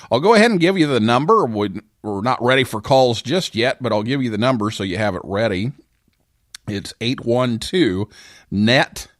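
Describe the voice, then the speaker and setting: Animated man hosting a radio show. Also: mid-range voice, dry recording, clean studio sound. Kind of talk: hosting a live ham radio call-in show.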